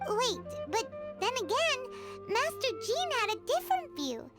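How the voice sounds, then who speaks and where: A woman's voice: extremely high pitch, very animated, measured pace, full character voice. A woman voicing a small, cheerful, talkative childlike fairy companion in a video game. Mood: earnest. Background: music.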